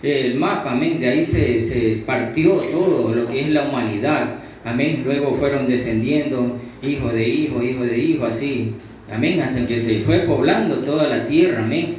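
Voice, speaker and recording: A man's voice: mid-range voice, darkish tone. A man with a Latin American Spanish accent teaching the Bible in an extemporaneous sermon, repeating himself and punctuating his phrases with amen. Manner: punctuates phrases with amen, repetitive, halting restarts. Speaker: religious teacher, preacher. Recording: very echoey room, distant mic, dull sound, faint noise.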